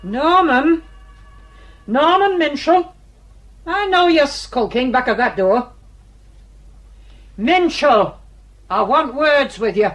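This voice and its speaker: An actress playing a spiteful, grasping old mill owner in a radio drama, with a Northern English accent and a blunt, scolding, sarcastic manner. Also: female voice, sits high, animated, slow, long pauses, fairly bright tone, faint music behind, exaggerated voice. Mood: domineering, threatening. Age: older.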